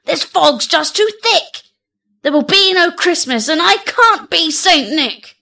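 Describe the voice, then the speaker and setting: A woman voicing an excitable, earnest male skeleton who plays Santa, declaiming dramatically in a storybook poem. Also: very high-pitched, slow pace, gravelly, pitched above the performer's own voice, heavily acted. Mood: dismayed.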